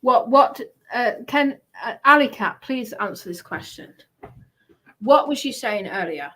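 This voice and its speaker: A woman's voice: on the high side, lively intonation, a little slow, fairly bright tone, poor audio. A woman hosting a casual live stream chat, talking in an insistent, questioning way.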